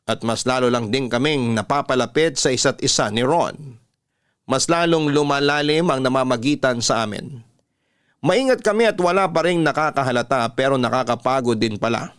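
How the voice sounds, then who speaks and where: Smooth-voiced Filipino male storyteller. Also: mid-range voice, a bit bright. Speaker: radio-style storytelling host. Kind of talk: emotional first-person scripted narration in classic radio style.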